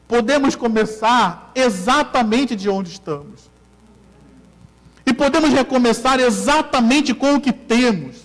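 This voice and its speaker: A man's voice: very high-pitched, animated, unhurried, fairly bright tone, big-room sound. A man from Brazil with a Carioca accent, preaching a sermon as pastor, repeating key lines and questioning the congregation. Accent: Carioca Brazilian Portuguese. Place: church hall.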